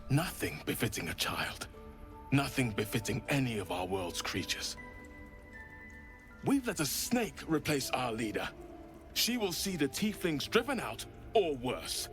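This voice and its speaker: Fantasy game druid: grave, loyal, worried, lively intonation, soft music behind. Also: male voice, mid-range voice, a little slow. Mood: worried urgency. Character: worried, earnest male druid loyal to absent master. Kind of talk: dramatic video game acting.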